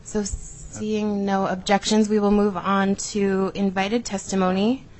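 A woman's voice: mid-range voice, level tone, unhurried, darkish tone, rough recording. A woman chairing a legislative committee hearing, running procedure with frequent fillers.

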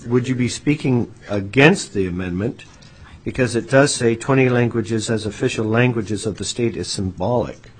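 A man's voice: medium pitch, moderate pace, breathy edge, low-quality recording. A legislator at a hearing, a man who questions a witness with frequent restarts and repeated words. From United States. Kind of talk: formal hearing testimony.

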